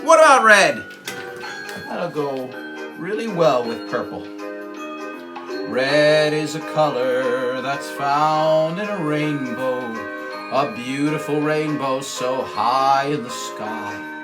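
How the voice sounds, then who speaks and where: A man's voice: mid-range voice, animated, takes it slowly, rough recording. A man hosting a children's puppet show and sing-along, exclamatory and encouraging, repeating his phrases. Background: music.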